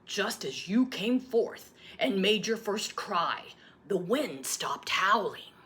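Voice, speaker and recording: A woman voicing a gentle old grandfather who tells a tale slowly, gravely and softly in a storybook read-aloud. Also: medium pitch, sing-song. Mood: solemn. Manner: slow, grave, hushed storytelling.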